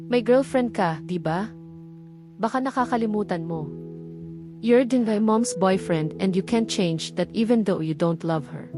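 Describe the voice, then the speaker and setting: A woman's voice: mid-range voice, lightly acted. Stubborn young Filipino woman in a storybook, voiced by a narrator. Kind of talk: storybook dialogue reading. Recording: music behind the voice.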